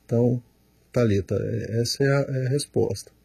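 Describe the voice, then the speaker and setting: Man, pastor, husky, fairly high voice, dry studio sound, slow emphatic teaching. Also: warm tone, no room sound. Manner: slow, emphatic, repeats words while explaining. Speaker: pastor answering questions.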